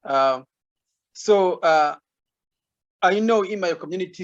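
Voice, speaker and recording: A man on a panel who explains his point carefully and haltingly, with many restarts, over a video call. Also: a little high, muffled recording. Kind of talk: online panel discussion.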